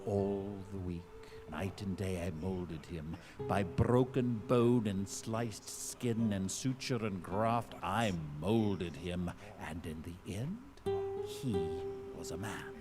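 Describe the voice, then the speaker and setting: An actor playing an obsessed, grandiose scientist who creates men from animals, theatrical and brooding, in a live audio drama. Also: male voice, low-pitched, takes it slowly. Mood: brooding, intense. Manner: theatrical, brooding, swelling into fervent declamation. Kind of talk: dramatic monologue in a live radio-style play. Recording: live stage recording with music underneath.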